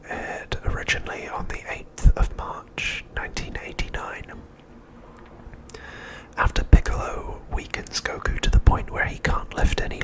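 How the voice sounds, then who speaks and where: A man speaks entirely in a breathy whisper, with no voiced tone at all. He narrates like an ASMR host recapping a story, keeping everything soft, hushed and unhurried. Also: rough recording.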